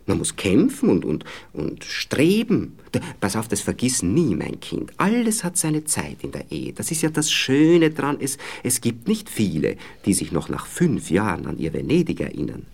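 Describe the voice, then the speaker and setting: A flirtatious Viennese gentleman in an intimate radio drama. His voice is deep and moves in wide, animated swings. He coaxes and patronizes, hesitating and tacking small questions onto his phrases. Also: normal speed, creaky, few pauses, husky, heavily acted. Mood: patronizing. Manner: hesitant, coaxing, peppered with little questioning tags. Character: married Viennese gentleman, condescending, coaxing, flirtatious seducer. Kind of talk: intimate radio play dialogue.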